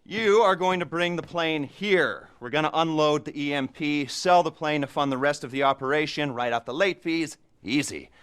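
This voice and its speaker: A man's voice: on the high side, normal speed, few pauses, a bit bright, clean tone, close to an everyday voice. An actor playing a dry-witted male heist planner in a video game cutscene, briefing his crew with wry, sarcastic asides. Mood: sardonic.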